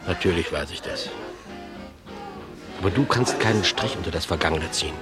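Guy doing sneering cold traitor, low voice, evasive, music clearly behind. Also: normal speed, close to an everyday voice. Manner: cool, mocking, evasive. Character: Paul, smug cold traitor, middle-aged man. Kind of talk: radio play acting. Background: bar piano music.

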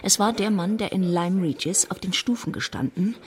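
Measured woman narrator, medium pitch. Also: a little mellow. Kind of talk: scripted literary narration in a radio drama.

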